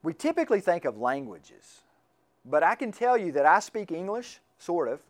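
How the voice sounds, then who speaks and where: Man, slightly high voice, informal classroom lecturer, talks in restarts and asides. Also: sing-song, normal speed. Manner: conversational, repeats words while restarting sentences. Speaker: lecturer on missions and medicine.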